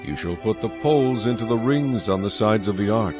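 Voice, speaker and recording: Male scripture narrator, lowish pitch, solemn measured scripted read over faint music. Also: expressive. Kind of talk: scripted dramatized Bible narration.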